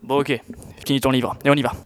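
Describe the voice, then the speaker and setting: A man's voice: mid-range voice, flat delivery, fast talker, lightly acted. A teenage boy playing a sarcastic, weary companion to a knight in a student audio drama. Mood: exasperated.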